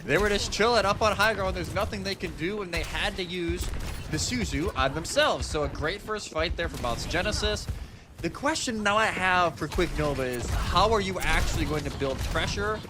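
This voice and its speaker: A man's voice: high-pitched, expressive, normal speed, background noise. A man giving live analytical commentary on an esports match.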